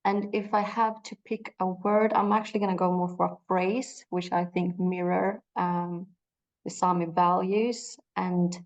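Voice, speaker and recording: Low, creaky young woman. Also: poor audio. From northern Sweden. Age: young adult. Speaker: panelist, PhD researcher. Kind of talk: self-introduction at an online panel. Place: small indoor room, video call.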